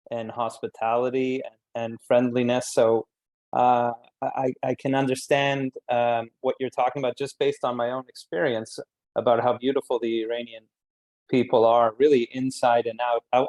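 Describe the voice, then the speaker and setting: A man hosting a panel discussion, introducing guests and putting questions in measured, halting phrases. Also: medium pitch, darkish tone, low-quality recording. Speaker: panel host and moderator.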